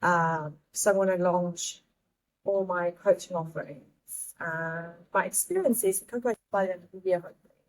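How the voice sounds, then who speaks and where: Lively Vietnamese-born woman hosting. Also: fairly low pitch, low-quality recording. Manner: lively and playful, quick to interject. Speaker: podcast host. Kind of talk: casual chat. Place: outdoors in Bali.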